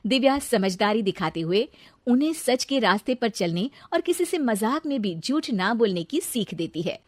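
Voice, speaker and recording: High-voiced woman narrating a story. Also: animated, moderate pace, dry recording.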